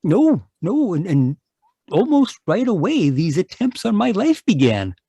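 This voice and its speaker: Give this radio mystery actor's part of a nervous, wronged husband who stammers and hesitates as he pleads. The man's voice sits fairly high, soft-edged and mellow, recorded dry. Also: huge pitch swings, unhurried, gravelly, breathy edge, no room sound, exaggerated voice. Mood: anxious. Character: anxious, wronged husband seeking his wife and fearing murder. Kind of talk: old-time radio mystery acting. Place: home, live video call performance.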